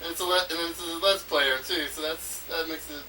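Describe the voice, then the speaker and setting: A man with a strikingly sharp, bright voice rambles through an unscripted audio log. He stops, restarts and repeats his phrases as he thinks aloud. The amateur recording is slightly noisy, and he sounds set back from the microphone. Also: fairly high pitch, steady tone, distant mic. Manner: halting, repeats himself, trails off mid-phrase.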